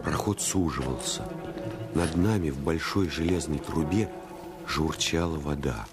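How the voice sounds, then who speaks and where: An actor playing a storyteller who narrates a boyhood adventure in a suspenseful, scripted radio drama style. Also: male voice, a bass voice, unhurried, over loud music. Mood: measured, suspenseful.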